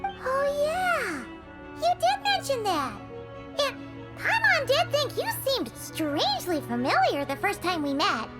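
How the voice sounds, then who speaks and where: A woman's voice: very high-pitched, wildly swooping pitch, slow, loud music behind the voice, exaggerated voice. A woman voicing a small, childlike, chatty companion girl in a video game, talking about herself in the third person. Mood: surprised, curious. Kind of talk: video game cutscene acting.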